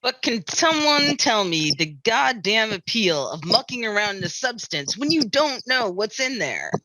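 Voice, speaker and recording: Woman playing a fretful, bright-voiced small-town sheriff. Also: fairly high pitch, sing-song, a little slow, dry recording, heavily acted, rough recording. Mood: exasperated. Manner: exasperated, emphatic ranting. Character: fretful, griping small-town beach sheriff afraid of water. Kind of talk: musical script table read.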